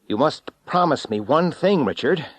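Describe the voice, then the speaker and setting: A man's voice: on the high side, lively intonation, moderate pace, no room sound, voice pushed up. Man playing a desperate, tormented ex-astronaut. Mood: urgent pleading. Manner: dramatic, urgent, straining. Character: tormented ex-astronaut, urgent, desperate, warning his friend.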